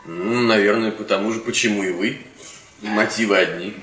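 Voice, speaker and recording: A man's voice: lowish voice, steady tone, normal speed, a bit bright, reverberant, soft background music, natural voice. An actor playing a polite, uneasy young university lecturer in his twenties in a radio play, hesitant and guarded. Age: 20s. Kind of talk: Russian radio play acting.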